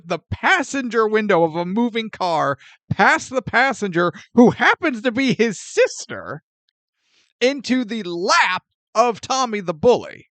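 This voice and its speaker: Animated, jokey man with a lively voice. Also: high-pitched, no echo. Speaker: podcast co-host. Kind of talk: casual film review chat.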